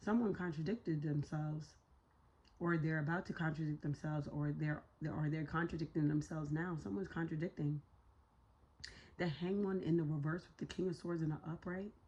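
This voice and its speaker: A woman with a raspy, hoarse voice doing a casual tarot reading for her viewers. She talks in slangy, profane bursts, thinks out loud, and speaks to spirit as she pulls cards. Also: deep voice, steady tone, normal speed, muffled recording. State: hoarse, voice a little shot. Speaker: tarot reader.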